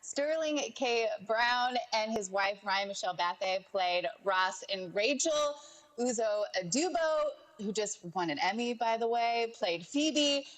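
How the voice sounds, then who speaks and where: A woman's voice: a little high, expressive, a little slow, low-quality recording. A woman presenting a conversational entertainment segment on television.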